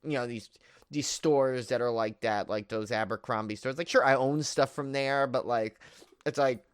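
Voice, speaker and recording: A man's voice: mid-range voice, moderate pace, smooth, no room sound, clean studio sound. Rambling, enthusiastic man chatting about film. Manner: rambling, repeats himself, piles up "like" and "you know". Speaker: podcast co-host. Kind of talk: casual film review chat.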